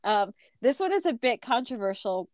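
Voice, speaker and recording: A woman's voice: a little high, very animated, normal speed, no room sound. Female podcast co-host, casual and chatty, slightly stuffy, dry close recording. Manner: chatty, with frequent fillers and laughter. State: stuffy from a recent illness. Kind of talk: casual chat.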